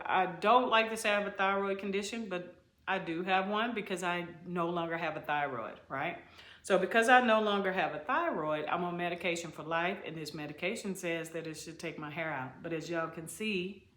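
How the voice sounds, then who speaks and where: A woman's voice: fairly low pitch, pushed and bright. Middle-aged woman giving hair advice, preachy, keeps repeating herself, says y'all a lot, room echo. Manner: emphatic, preachy, repeats key phrases; addresses viewers as y'all and you guys. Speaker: haircare specialist. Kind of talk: direct-to-camera advice talk. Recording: echoey room.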